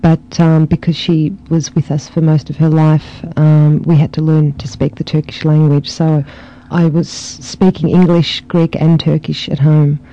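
A man with a Melbourne Australian accent, reading a short passage and then answering interview questions in a relaxed way, with frequent ums and you-knows. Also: on the high side, measured pace, few pauses. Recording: dull lo-fi archive recording.

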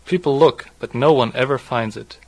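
A man in his twenties from southwest Germany, a native German speaker with a German accent, speaking in a halting, explanatory way. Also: mid-range voice, poor audio. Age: 20s. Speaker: interviewee, doctoral student. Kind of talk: read passage, then unscripted personal account.

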